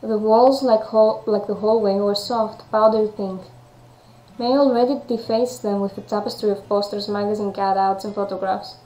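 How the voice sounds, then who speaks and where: A woman's voice: medium pitch, steady tone, normal speed, warm tone, roomy sound, rough recording. A woman with a Greek accent and non-native English, reading a visual novel aloud and commenting as she plays. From Greece. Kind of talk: game playthrough with read-aloud narration and chatty commentary.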